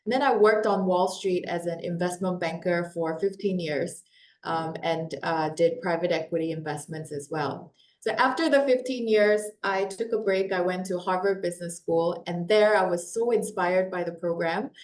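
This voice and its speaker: A woman in her forties, born in Korea, hosting a live webinar with an upbeat, welcoming manner and frequent fillers like "um" and "uh". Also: fairly low pitch. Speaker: webinar host and company founder. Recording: echoey room.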